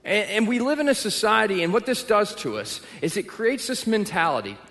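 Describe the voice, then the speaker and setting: Texan pastor in an echoing hall. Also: male voice, high-pitched, very animated, fairly quick, fairly bright tone. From Texas. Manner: personal storytelling with self-deprecating humor. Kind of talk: sermon. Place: church auditorium. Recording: long reverb of a large hall.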